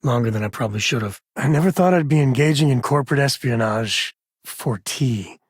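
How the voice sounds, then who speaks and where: An actor playing an easygoing, wry space cowboy lawman in a video game, protective and loyal, with a folksy, teasing delivery and a husky voice. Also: mid-range voice, a little fast, no room sound, studio quality, natural voice. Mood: conversational, dry. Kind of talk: video game character voice lines.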